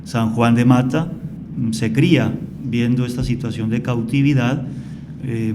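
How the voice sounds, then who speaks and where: A man from Colombia, a priest guest on a radio interview, explaining at length in a calm, storytelling way. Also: mid-range voice, noisy background.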